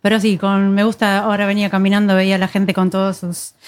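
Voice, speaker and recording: A woman's voice: medium pitch, little pitch movement, fast. A woman chatting casually in a cheerful, chatty way. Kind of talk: casual chat.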